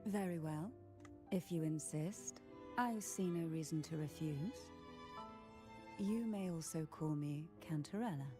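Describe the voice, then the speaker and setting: An enigmatic noblewoman from a video game. Her voice is on the low side, her manner formal and silkily polite, every line poised. Music plays clearly behind her. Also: measured pace. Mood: gracious. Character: elegant, enigmatic noblewoman heading a powerful family. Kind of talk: scripted video game voice acting.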